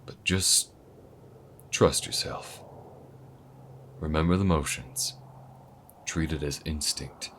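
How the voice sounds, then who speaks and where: A man voicing a calm, weathered alien gunslinger who mentors the listener, speaking low-key and laid-back, sometimes near a whisper, in an audio roleplay. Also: slow, long pauses, slight background noise, heavily acted. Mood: steady, easygoing. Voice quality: very deep, low-key drawl.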